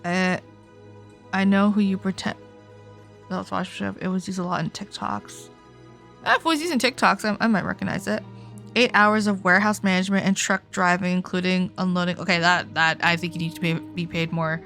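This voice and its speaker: A young woman talks to her stream while playing a game. Her voice sits a little low for a woman, and she is casual, chatty and prone to swearing and giggling. Music plays quietly behind her. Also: fairly even intonation, brisk. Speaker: game livestreamer.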